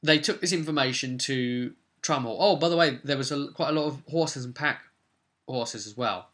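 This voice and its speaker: A man casually recapping his tabletop game as game master, rambling and breaking off into animated asides to viewers. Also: medium pitch, normal speed. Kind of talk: casual video recap, storytelling.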